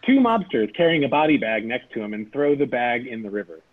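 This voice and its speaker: A man's voice: mid-range voice, sing-song, brisk. A man reading the stage directions aloud at a table read. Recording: tinny phone-like recording.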